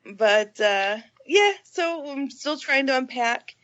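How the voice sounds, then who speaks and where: A woman's voice: high voice, expressive, takes it slowly, a bit bright, muffled recording. Stuffy-nosed chatty woman. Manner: chatty, laughing, self-deprecating. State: stuffy from a cold. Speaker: podcast co-host. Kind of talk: casual chat.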